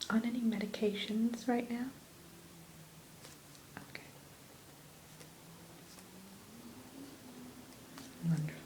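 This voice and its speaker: A woman playing a kind, reassuring therapist in a whispered ASMR roleplay, speaking gently and attentively without hurry. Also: mid-range voice, a little hiss or hum, lightly acted, poor audio. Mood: gentle, welcoming. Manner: soothing, gentle, attentive, unhurried. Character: kind, reassuring female therapist welcoming a new patient.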